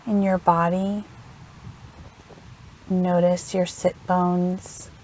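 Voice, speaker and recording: A woman leading a guided meditation in a gentle, soothing manner, unhurried and leaving long pauses between phrases. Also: fairly low pitch, slight background noise, poor audio. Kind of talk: guided meditation, slow instructional narration.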